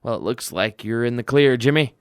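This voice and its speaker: A man's voice: medium pitch, a little fast, clean tone, no echo, studio quality, natural voice. A man playing a good-humored, knowing older father in a radio drama. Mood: gleeful.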